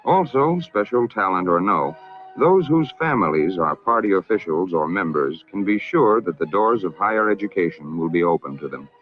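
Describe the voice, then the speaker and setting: An American man narrating a scripted 1960s public information film in a formal, measured, emphatic manner. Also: deep voice, animated. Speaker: documentary narrator. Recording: narrow-band vintage film soundtrack, music behind.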